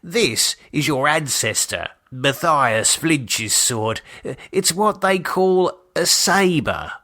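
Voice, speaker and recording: A man's voice: a little high, animated, extremely slow, pushed and bright, pitched above the performer's own voice, exaggerated voice. A man voicing a fond, playful father in an audiobook, explaining things with hesitant affection and a touch of comic menace. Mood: fond, proud. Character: fond playful English father, gleefully wicked about swords.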